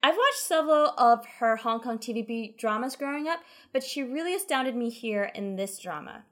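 A woman's voice: on the high side, expressive, normal speed, a bit bright. A woman co-hosting a podcast, chatting enthusiastically about a TV drama. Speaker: podcast co-host.

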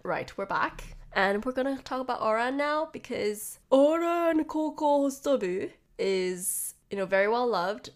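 A woman's voice: a little high, expressive, a little slow, hardly any pauses. A young adult woman with a blocked nose and a slightly nasal voice, rambling casually on her podcast with lots of "like"s and restarts. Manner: chatty, rambling with frequent "like" and restarts. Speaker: podcast host. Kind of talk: casual solo podcast talk.

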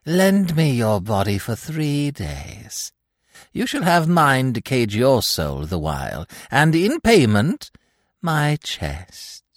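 A man voicing a mocking, gloating demonic dwarf in a gothic storybook tale, screeching and gravelly, with theatrical archaic speech. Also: mid-range voice, huge pitch swings, slow, no room sound, clean studio sound, voice pushed up, heavily acted. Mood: wheedling.

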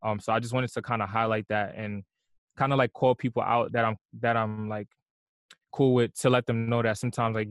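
A man's voice: lowish voice, dry recording. A young man from New York, a musician being interviewed, talking in a laid-back way full of "like" and "you know". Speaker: musician guest. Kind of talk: casual interview conversation.

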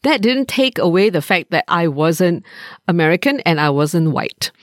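A woman in her sixties from Malaysia, co-hosting a podcast and sharing her experiences in a measured, reflective way with frequent pauses. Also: lowish voice, animated, no room sound, clean studio sound. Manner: measured, thoughtful, pauses with fillers. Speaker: podcast co-host.

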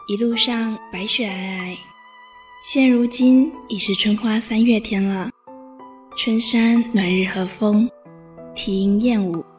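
A woman playing a gentle, bookish young noblewoman in a Chinese period audio drama, poised, with literary phrasing. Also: a little high. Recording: music behind voice.

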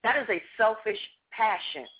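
A woman's voice: medium pitch, animated, normal speed, slightly rough. A woman guest giving motivational, preachy advice about purpose in a radio interview. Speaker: guest, natural hair business owner. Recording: tinny phone line.

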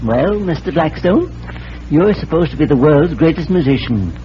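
A smug rival stage magician, played by a male actor, challenges in oily, formal tones. His voice sits fairly high. It comes through a dull vintage radio recording with some hiss. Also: expressive, moderate pace, close to an everyday voice. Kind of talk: 1940s radio acting. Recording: muffled, hissy old radio recording.

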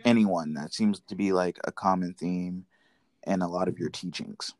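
Low-voiced man hosting an interview. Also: fairly even intonation, moderate pace, darkish tone. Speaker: interview host. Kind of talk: casual conversational interviewing.

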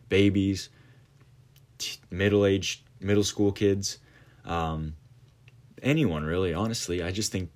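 A nineteen-year-old man hosts his own small podcast, talking off the cuff about his plans. His voice sits very low, and he wanders through his thoughts with plenty of ums, uhs and restarts. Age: late teens. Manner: rambling, many fillers and restarts. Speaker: podcast host. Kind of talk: casual monologue.

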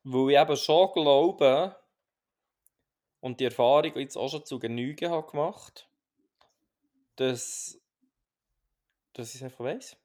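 A man with a Swiss German accent, co-hosting a podcast in a calm, reflective chat with many restarts. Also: mid-range voice, takes it slowly, long pauses. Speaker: podcast co-host.

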